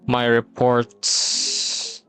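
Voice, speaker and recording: A young man from the Philippines chatting casually on a drawing stream, relaxed and rambling with many pauses and fillers. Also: mid-range voice, smooth. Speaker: streamer and teacher. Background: soft background music.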